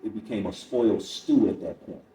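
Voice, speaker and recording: A man's voice: fairly low pitch, moderate pace, dark tone. A middle-aged man speaking candidly as a comedian guest on a podcast, telling stories and quoting people as he goes. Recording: roomy echo, distant mic, faint noise.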